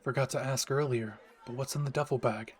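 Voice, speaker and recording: A man playing a calm, polite, literal-minded young anime pro hero, soft-spoken and deadpan, in an audio roleplay. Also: medium pitch, a little fast, some chatter behind, close to an everyday voice. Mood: calm, appreciative.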